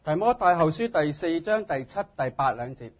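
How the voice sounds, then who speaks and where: A man preaching as a guest in short phrases, speaking humbly and haltingly in his native tongue. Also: on the high side, very animated. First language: Cantonese. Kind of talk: sermon delivered in short phrases for interpretation. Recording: dull, muffled lo-fi sound.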